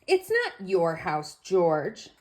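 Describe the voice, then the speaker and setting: A woman's voice: lowish voice, huge pitch swings, extremely slow, full character voice. A woman voicing an assertive little girl in a storybook, speaking insistently.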